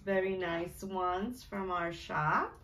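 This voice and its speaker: A woman's voice: fairly low pitch, slow pace. A woman co-hosting a reaction video, chatting casually with her partner. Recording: echoey room with faint background noise.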